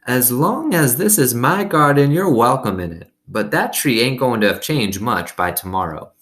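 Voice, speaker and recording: A man's voice: medium pitch, expressive, fairly bright tone, pitched above the performer's own voice, lightly acted. A man voicing a kindly old woman with failing eyes and folksy rural speech in a storybook read-aloud. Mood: affectionate. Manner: folksy rural dialect, drawn-out phrases.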